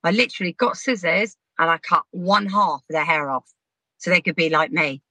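Brief: woman, 50s, podcast guest, laughs through her stories, bright tone. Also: on the low side, moderate pace, dry recording, rough recording. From Southampton, England. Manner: chatty, frank, laughs while telling stories. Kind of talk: casual storytelling chat.

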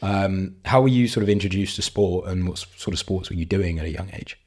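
Hoarse male podcast host, lowish voice, friendly and rambling. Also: a little fast, a little mellow. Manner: friendly, asks long rambling questions. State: hoarse, losing his voice. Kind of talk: casual interview chat.

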